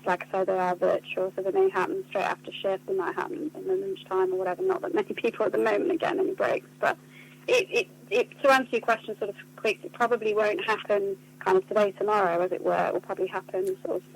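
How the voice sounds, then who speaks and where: A woman's voice: medium pitch, fairly even intonation, fast talker, vocal fry, rough recording. A woman being interviewed on the radio, explaining things in an articulate, earnest way. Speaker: charity communications officer being interviewed. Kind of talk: spoken radio interview answers.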